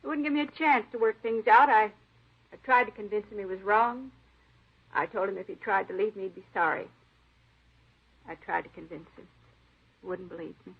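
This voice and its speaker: An actress playing a weary, bitter spurned wife who speaks matter-of-factly, in a 1950s radio drama. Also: female voice, mid-range voice, fairly quick, vocal fry, long pauses, breathy edge. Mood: resigned, bitter. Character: resigned, bitter spurned wife confessing to murder. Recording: muffled old radio broadcast.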